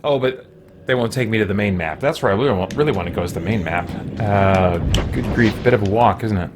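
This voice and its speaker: A man's voice: lowish voice, fairly quick. Man thinking aloud over noisy game ambience. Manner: thoughtful, hedging, thinking aloud. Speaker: let's-play host. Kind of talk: casual rambling gameplay commentary.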